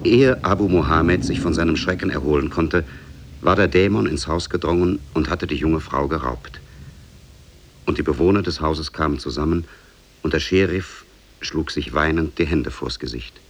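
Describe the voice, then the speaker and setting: Very deep, gravelly male narrator. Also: normal speed. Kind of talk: scripted storybook narration, 1950s German radio.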